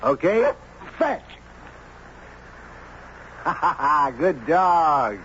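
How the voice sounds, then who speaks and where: An actor playing a friendly anthropologist in a 1970s radio drama, easygoing and measured, on an old radio recording. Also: male voice, on the high side, very animated, dull audio, background noise, heavily acted. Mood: affable, playful. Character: friendly male anthropologist and narrator, an affable dog owner.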